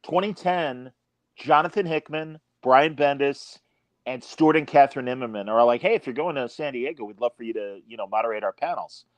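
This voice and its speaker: Animated man telling anecdotes. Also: medium pitch, fairly quick, no room sound. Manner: animated anecdotes, laughs often, acts out quotes. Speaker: podcast guest. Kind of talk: casual storytelling chat.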